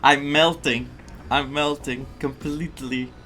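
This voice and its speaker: A man's voice: fairly high pitch, fairly even intonation, measured pace, sharp tone. A man streaming a game, commentating casually in a gushing, reactive way. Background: game music.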